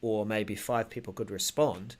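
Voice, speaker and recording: A man's voice: lowish voice, fairly even intonation, unhurried, breathy edge. A man co-hosting a casual tech show, explaining things tentatively as he thinks aloud.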